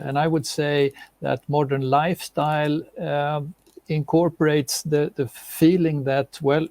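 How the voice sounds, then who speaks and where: A man's voice: fairly high pitch, dark tone, dry recording. Man with a heavy Swedish accent. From Sweden. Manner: halting, repeats words, measured. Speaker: guest expert. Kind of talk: webinar discussion.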